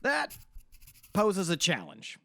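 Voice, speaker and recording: A man's voice: high voice, huge pitch swings, takes it slowly, creaky, bright, forceful tone, no echo, exaggerated voice. A man playing a formal, polite, slightly hesitant middle-aged defense lawyer in an audio drama. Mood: measured, concerned.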